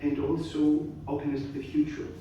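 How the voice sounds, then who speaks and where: Man, halting formal speech, echoey hall. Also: a little high, monotone, mellow. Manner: halting, many hesitations and restarts. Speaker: guest speaker. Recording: echoey hall, distant mic, faint noise.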